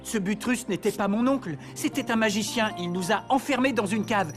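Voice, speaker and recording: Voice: medium pitch, a little fast, music in the background, natural voice. An actor playing a naive, earnest and stubborn young boy hero in a French cartoon fairy tale. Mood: remorseful.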